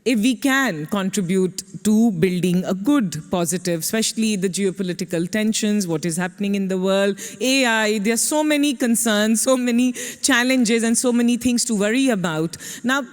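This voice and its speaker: A woman's voice: mid-range voice, normal speed, hardly any pauses, in a large hall. A woman moderating a conference session, framing long questions with hesitations and restarts. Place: conference stage.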